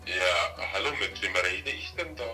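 A man's voice: low-pitched, animated, normal speed, sharp tone. A man in a spontaneous phone conversation, questioning and insistent, heard over a speakerphone. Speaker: prank call recipient. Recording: echoey distant phone on speaker, faint music.

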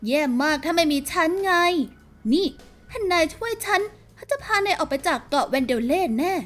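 A woman voicing a bossy, sardonic young rebel leader in a video game fan dub, with a brisk, teasing delivery. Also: extremely high pitch, animated. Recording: music behind the voice.

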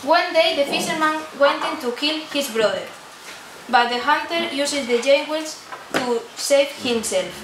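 Teenage female narrator, Spanish accent, high voice, halting storytelling, noisy distant recording. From Spain. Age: teens. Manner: halting reading in non-native English. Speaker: student narrator of a puppet show. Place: indoor room at a day care centre. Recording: echoey room from afar, noisy.

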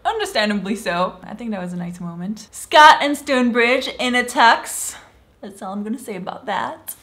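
Young woman reviewer, slightly higher voice, excitable, casually recounts scenes in a small room. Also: normal speed. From Switzerland. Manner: enthusiastic, playfully acts out quoted lines. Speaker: TV show reviewer.

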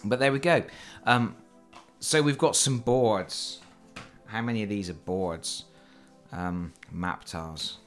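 A man's voice: fairly low pitch, slow, fairly bright tone, faint music behind. A man with a cold, hosting a casual, chatty board game unboxing and talking over his hands at a table. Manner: chatty and self-deprecating, with fillers. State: has a cold. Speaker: board game channel host. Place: indoors at a table.

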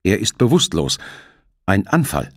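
A man's voice: deep voice, sing-song, a little slow, dry recording, clean studio sound, heavily acted. A man voicing a cold, commanding kidnapper in an audiobook thriller, speaking in curt, matter-of-fact phrases. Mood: cool, calculating.

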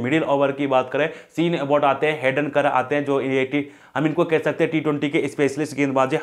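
A young man hosting a cricket match preview, talking to camera in a chatty, emphatic way and addressing viewers as brothers. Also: fairly high pitch. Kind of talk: casual cricket prediction talk to camera. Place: indoor studio in front of a green screen.

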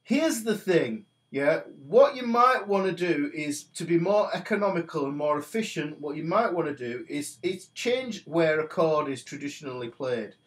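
A man's voice: on the high side, animated, normal speed, few pauses. A man in his forties with a stuffy nose from a cold, casually teaching a guitar lesson from a small home studio while he plays. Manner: chatty, talks while playing guitar. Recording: guitar notes under the voice. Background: guitar playing.

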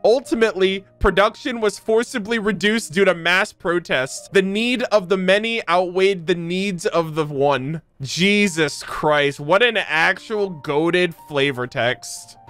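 Bright-voiced man streaming. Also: high-pitched, measured pace. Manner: animated, slangy, swearing. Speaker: video game streamer. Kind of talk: live game stream commentary, casual chat. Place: small room indoors at home, on webcam. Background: game music.

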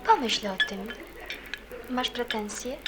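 A woman playing an earnest, caring teenage girl in a radio play, asking gentle, thoughtful questions. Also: fairly high pitch, expressive, slow, heavy background noise. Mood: concerned, probing. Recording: busy chatter of people behind. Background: crowd chatter, as in a café.

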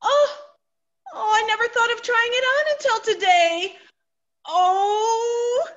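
A woman's voice: extremely high pitch, slow, a bit bright, muffled recording, exaggerated voice. A woman playing a vain, anxious middle-aged wife in a stage comedy, melodramatic and fluttery. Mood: distraught, wailing. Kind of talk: theatrical stage comedy acting.

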